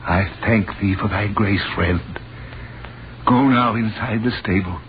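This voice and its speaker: An actor playing a humble, grateful physician of biblical times, earnest and formal, in 1930s radio style. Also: male voice, lowish voice, unhurried, close to an everyday voice. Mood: humble, awed. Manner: earnest, formal archaic diction. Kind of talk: 1930s radio acting, archaic biblical speech. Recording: muffled noisy 1930s radio recording.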